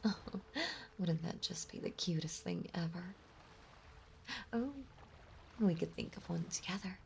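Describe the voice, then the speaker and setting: A woman playing a possessive, clingy mermaid in an intimate ASMR roleplay, speaking softly and breathily and lingering on her words. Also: fairly low pitch, fairly even intonation, a little slow, gravelly. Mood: delighted. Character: possessive, clingy yandere mermaid, sweetly obsessive young woman. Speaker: voice actress in a roleplay audio. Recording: faint background noise, water ambience. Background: ocean ambience sound effects.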